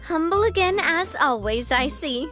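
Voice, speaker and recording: A poised young gang leader, bright and high in pitch and gracious in her phrasing. Faint game music runs under her voice. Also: expressive, unhurried. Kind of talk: video game voice acting.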